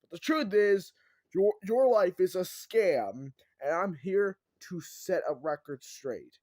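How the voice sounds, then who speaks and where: A brash demon schemer voiced by a man delivers a storybook sales pitch with theatrical, taunting bombast. The voice sits fairly high and swings widely in pitch, recorded dry and close. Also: expressive, slow pace, darkish tone, no echo, heavily acted. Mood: smug, confiding. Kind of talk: animated audiobook character reading.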